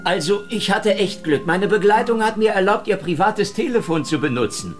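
An actor playing a wisecracking, flirtatious, world-weary agent who narrates and talks in a sardonic noir radio-drama style. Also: male voice, high voice, animated, moderate pace, over music. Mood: feigned sweetness. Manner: sardonic, teasing. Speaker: main character and narrator.

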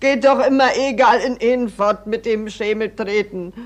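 A woman's voice: on the high side, normal speed, lightly acted. An actress playing a sick, careworn old mother in a radio drama, lamenting in Silesian German dialect. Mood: plaintive despair. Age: older. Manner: lamenting, plaintive.

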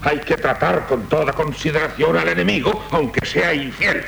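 An actor playing a proud, pompous older Castilian count in a radio drama, sarcastic and courtly, with a Castilian Spanish accent. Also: male voice, normal speed, vocal fry, a bit bright. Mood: scornful, mocking. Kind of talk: 1970s radio drama acting. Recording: noisy 1970s radio recording with rumble.

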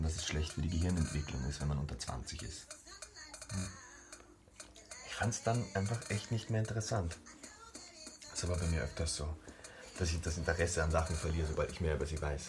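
A skeptical young man in a naturalistic radio drama, played by an actor. His voice sits extremely low, and his talk is laid-back and colloquial. Clear music runs underneath. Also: close to an everyday voice. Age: young adult. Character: disillusioned young male student and model, casual, skeptical.

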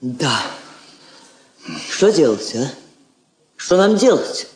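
Expressive woman diplomat, roomy recording. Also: deep voice, drawn-out words, heavily acted. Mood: shrewd, calculating. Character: poised, shrewd Soviet woman ambassador, tender but resolute. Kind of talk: theatrical Russian radio drama acting. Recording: roomy 1960s radio play recording.

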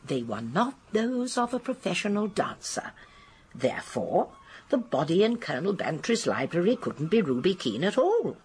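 A genteel old English lady detective in a British radio play. Her voice is husky and breathy and swings expressively as she lays out each point with precise, emphatic certainty. She is shrewd and gently indignant. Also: lowish voice, very animated, normal speed, exaggerated voice. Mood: firm, explanatory. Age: older. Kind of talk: British radio drama acting.

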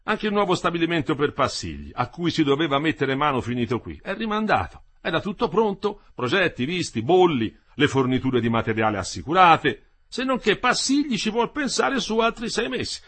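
A smooth-talking middle-aged contractor, as a man reads him aloud, lifts his husky voice into a persuasive speech. The pitch swings a lot as he coaxes and reassures. He sounds paternal and sure of himself. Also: sing-song, a little fast, few pauses, a bit bright, exaggerated voice.

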